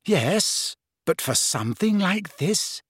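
A man voicing a brisk, earnest and impatient teenage schoolgirl who likes to be in charge. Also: high-pitched, big pitch swings, husky, dry recording, studio quality, voice pushed up, exaggerated voice. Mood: disapproving.

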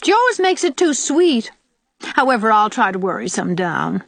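A woman voicing a sour, sarcastic old country aunt with a sharp tongue, in an audiobook. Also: a little high, sing-song, normal speed, gravelly, dry recording, pitched above the performer's own voice, heavily acted. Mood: sour, self-pitying. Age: older. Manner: disagreeable, sarcastic, nagging. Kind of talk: audiobook character voice.

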